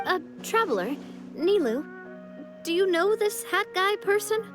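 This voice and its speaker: A woman's voice: extremely high pitch, very animated, measured pace, exaggerated voice. An actress playing a polite, confident young woman in a video game, with a courteous, friendly manner. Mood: curious. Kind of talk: English video game voice acting. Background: game music.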